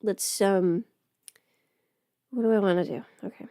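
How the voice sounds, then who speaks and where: A woman's voice: mid-range voice, a little slow, dark tone. A woman livestreaming in casual, rambling chat as she does her makeup, informal and mildly sweary. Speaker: livestream host.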